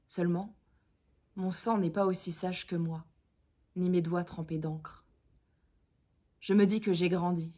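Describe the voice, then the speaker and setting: A woman's voice: lowish voice, dark tone. A young woman, a conservatory student, giving a recitation of literary poetry. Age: young adult.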